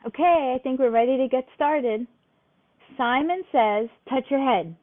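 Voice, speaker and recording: A woman's voice: sits high, unhurried. A woman leading a game for small children, playfully addressing them directly as she gives instructions. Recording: thin phone-like audio.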